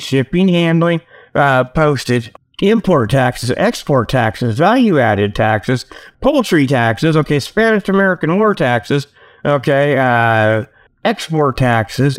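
A man hosting a solo comedy radio show, rambling casually in a folksy, joking way with mock sales pitches and frequent "okay" tags. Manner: folksy, joking, chuckling at own jokes; repeats "okay" as a verbal tag.

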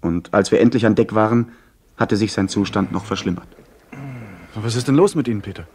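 An actor playing an earnest young gentleman who narrates his adventure in a measured, literary way for a radio play. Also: lowish voice, a little hiss or hum, close to an everyday voice. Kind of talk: scripted first-person radio play narration.

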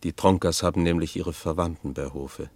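An actor playing a sober chronicler, narrating a radio drama in a formal, dry storytelling manner. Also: male voice, sits low, steady tone, moderate pace, a little mellow, no room sound. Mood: sober, wry. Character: male chronicler soberly recounting a legal injustice.

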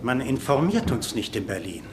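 A man voicing a gentleman guest who keeps pressing his complaint. His voice sits mid-pitched in an echoey room. A clear rumble runs underneath. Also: very animated, normal speed, natural voice. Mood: aggrieved. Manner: insistent, complaining.

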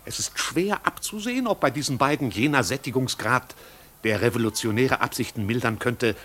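An actor in a 1950s German radio play playing a fussy, pompous servant who speaks in stilted, formal, long-winded sentences. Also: male voice, medium pitch, animated, normal speed, bright, forceful tone, a little hiss or hum. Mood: stiffly dutiful. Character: pompous, fussy, devoted manservant of a marquis. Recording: 1950s radio recording with music.

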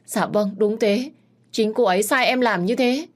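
Woman voicing a trembling servant girl, high, begging. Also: measured pace, close to an everyday voice. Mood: desperate. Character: young female servant, frightened and pleading.

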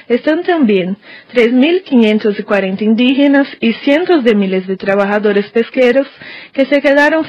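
A woman correspondent reading a formal radio news report in a steady manner. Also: a little high, no echo. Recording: dry, dull-toned studio recording.

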